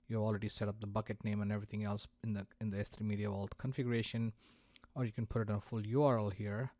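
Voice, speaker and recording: Man narrating a dry-sounding software tutorial. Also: on the low side, flat delivery, racing, dry recording. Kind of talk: product demo narration, explanatory.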